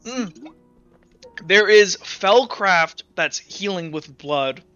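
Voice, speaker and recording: A man's voice: sits high, animated, takes it slowly, pushed and bright, clean tone. A man running an online tabletop roleplaying game as game master, chatting and explaining things informally. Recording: roomy echo, dull lo-fi sound. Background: music.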